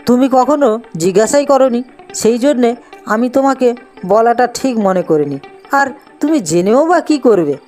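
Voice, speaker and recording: A simple young village man who stubbornly keeps things to himself, played by a woman. The cartoon voice is fairly high, with quiet music behind it. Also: lively intonation, a little slow. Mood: calm, evasive. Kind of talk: cartoon voice acting.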